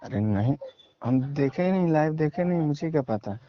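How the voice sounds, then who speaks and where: A man's voice: on the high side, expressive, normal speed. A man with a Bihari accent, a singer answering questions on a recorded phone call in a casual, terse and defensive way.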